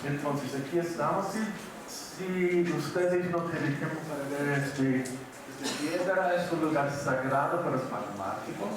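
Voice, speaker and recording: Slow, halting older man in an echoey hall. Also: on the high side, crowd noise. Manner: slow, halting, mixes in Portuguese words. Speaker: keynote speaker. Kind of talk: conference lecture. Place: large university auditorium. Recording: echoey hall from far off with audience.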